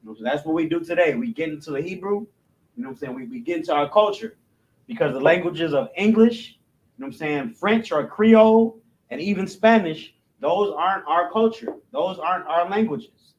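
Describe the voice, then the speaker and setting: A man's voice: on the high side, lively intonation, brisk, lo-fi sound. A man giving an informal class, explaining step by step, often stumbling and restarting and checking in with his listeners. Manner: loose and repetitive, stumbles and restarts, keeps asking "you know what I'm saying". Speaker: religious language instructor.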